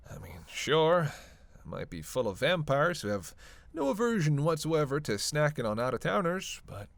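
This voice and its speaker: A man's voice: fairly high pitch, very animated, a little slow, gravelly, no room sound, exaggerated voice. Man acting a sardonic, grumbling vampire. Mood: wry, teasing. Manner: sarcastic, grumbling, swearing. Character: sardonic, reluctant male vampire, hungry, cursing, teasing. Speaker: voice actor in audio roleplay. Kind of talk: solo audio roleplay addressed to the listener.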